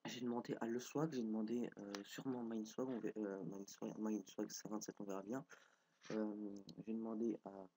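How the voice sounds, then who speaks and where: A man's voice: lowish voice, little pitch movement, normal speed, mellow, slight background noise, poor audio. A young man with a cold and a stuffy nose, casually commenting as he builds in a game, thinking aloud with frequent hesitations. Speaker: gameplay video creator.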